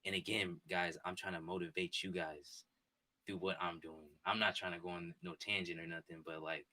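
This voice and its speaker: A man in his twenties chatting casually with his live-stream viewers from a home setup, excitable and repetitive. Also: sits low, moderate pace. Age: 20s. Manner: excitable, repetitive, talks straight to chat. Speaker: sports streamer. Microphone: desk mic and headphones.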